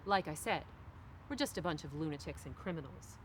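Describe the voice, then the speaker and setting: A middle-aged woman, an author, reading their own novel aloud in an expressive literary style. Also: deep voice, a little fast. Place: outdoors on a park bench among trees. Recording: faint outdoor background noise.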